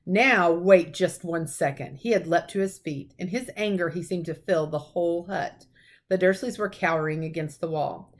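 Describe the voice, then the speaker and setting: A woman reading a children's story aloud like a teacher, in expressive narration. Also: fairly low pitch, moderate pace.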